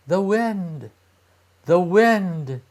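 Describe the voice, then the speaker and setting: Man putting on high, singsong storybook children. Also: animated, drawn-out words, a little mellow, heavily acted. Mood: innocent, singsong. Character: innocent-sounding fairy-tale children answering in singsong.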